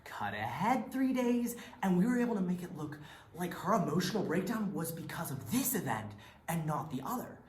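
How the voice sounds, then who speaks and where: A woman performing an earnest reality TV editor in readers theatre, with expressive, dramatic delivery. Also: on the low side, moderate pace. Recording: echoey room, faint background noise.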